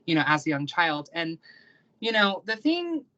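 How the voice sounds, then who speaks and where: A woman's voice: on the low side, very animated, normal speed, sharp tone, highs cut off. A young American woman, a guest on an interview stream, chatting casually and rambling with many ums and likes. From United States. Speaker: guest, practicing witch and YouTuber.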